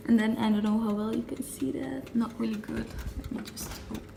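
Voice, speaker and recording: A young woman in her twenties with a slightly raspy just-woken voice and hiccups, chatting casually while showing gifts, recorded on a handheld camera. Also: a little high, fairly even intonation, fairly quick, echoey room, noisy background. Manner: chatty, self-narrating while wrapping gifts. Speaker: vlogger. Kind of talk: casual vlog chat. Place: home kitchen and living room.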